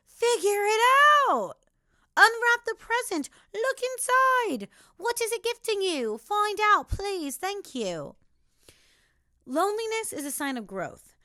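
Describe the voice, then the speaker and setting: A young woman with a raspy voice giving an emphatic motivational monologue as a podcast host, talking straight to the listener. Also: sits high, sharp tone, no room sound, studio quality.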